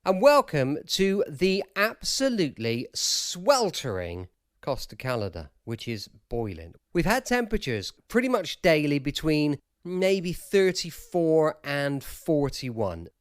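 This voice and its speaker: A man's voice: fairly high pitch, wildly swooping pitch, slow, dry recording, studio quality. An English man hosting a podcast with a casual, chatty introduction. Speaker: podcast host.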